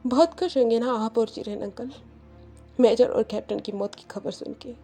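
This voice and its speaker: A woman's voice: a little high, normal speed, warm tone. A woman voicing a fiercely loyal, accusing young person in a dramatized audio story, emotional and confrontational. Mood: bitter, defiant. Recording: music behind the voice.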